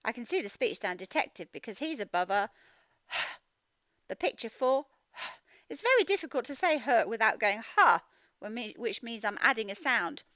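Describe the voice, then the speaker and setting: A woman teaching a children's reading lesson, expressively reading aloud and sounding out words slowly. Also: on the high side, big pitch swings, bright, forceful tone, no room sound. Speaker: phonics teacher.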